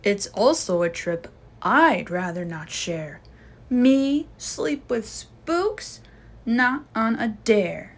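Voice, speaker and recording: A woman voicing a sly, blustering father bear in a children's storybook, giving dramatic, drawn-out warnings. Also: a little high, lively intonation, heavily acted.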